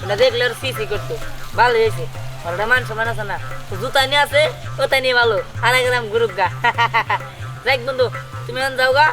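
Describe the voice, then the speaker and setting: A boastful young village boy, played by a woman, talks fast. He is cocky and taunting, in a fairy-tale drama. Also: a little high, over music, natural voice. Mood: smug.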